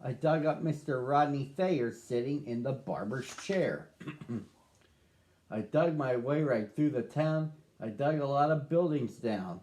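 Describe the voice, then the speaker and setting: A man reading a rhyming picture book aloud to children, with a slow, sing-song rhythm. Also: medium pitch, lively intonation, darkish tone. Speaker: storybook reader.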